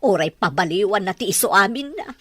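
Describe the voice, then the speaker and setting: An actress with a Filipino accent playing an exasperated middle-aged mother and mistress of the house, with a husky voice. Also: medium pitch, expressive, brisk, a bit bright. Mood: exasperated, correcting. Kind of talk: Ilocano audio drama acting.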